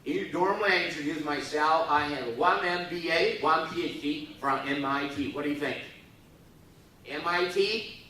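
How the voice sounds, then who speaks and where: A man's voice: on the high side, moderate pace, pushed and bright, a little hiss or hum. A middle-aged man giving a joking speech to a live audience at a youth forum, playfully teasing the crowd and prodding them to respond. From Taiwan. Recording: echoey hall, voice from far off.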